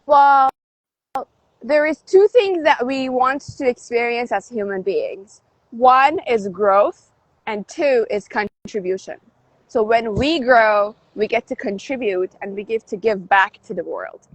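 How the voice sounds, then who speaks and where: High-voiced upbeat motivational woman. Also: unhurried, no echo, low-quality recording. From Canada. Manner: upbeat and motivational, emphatic swings. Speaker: guest, DJ and life coach. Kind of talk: livestream interview. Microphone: phone livestream.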